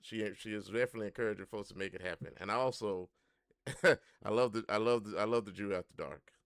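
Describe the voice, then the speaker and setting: A man's voice: on the low side, fast, a bit bright, clean tone, no room sound, studio quality. A man hosting a podcast, chatting casually and playfully with his guest, often laughing. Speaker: podcast host. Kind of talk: casual interview chat.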